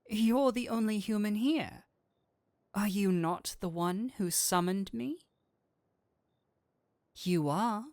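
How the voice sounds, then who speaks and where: A man plays a seductive, teasing incubus demon speaking straight to the listener in an audio roleplay. His delivery is theatrical and very slow, lingering over words with a flirtatious lilt. The voice sits close and dry on the mic. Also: high voice, no room sound, exaggerated voice. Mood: curious, probing. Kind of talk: scripted roleplay audio drama, addressing the listener.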